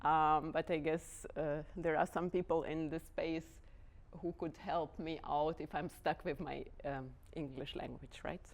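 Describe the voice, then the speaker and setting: A woman from Lithuania with a Lithuanian accent, giving an informal lecture to dance students, hesitant, with many pauses and restarts. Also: deep voice, steady tone. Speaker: guest lecturer, artistic director.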